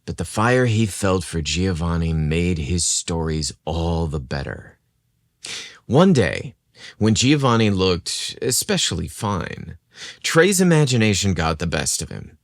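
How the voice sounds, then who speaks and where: Really deep male narrator reading a romance story, measured and dramatic, dry close sound. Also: sing-song, dry recording.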